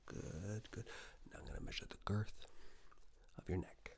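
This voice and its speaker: A man doing a soft-spoken ASMR tailor roleplay, breathy and whispered, with an unhurried, reassuring, slightly hesitant way of talking. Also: steady tone. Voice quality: soft, breathy whisper mixed with low creaky murmur. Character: attentive male tailor, gently flattering and chatty. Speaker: ASMR performer playing a tailor.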